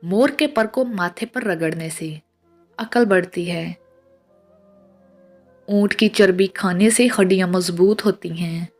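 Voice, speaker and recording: A woman reads scripted tips in a measured, instructional way, as a narrator of short pieces of advice. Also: fairly low pitch, darkish tone. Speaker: narrator of household and health tips. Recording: voice-over with music behind. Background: music.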